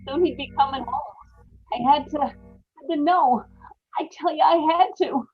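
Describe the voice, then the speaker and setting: Haunted wife confessing murder, female actor, intense and emphatic, old-time radio horror style, slightly muffled lo-fi sound. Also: sits high. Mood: frantic. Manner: intense, emphatic, repetitive storytelling with dramatic pauses. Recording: dull lo-fi live radio-play audio.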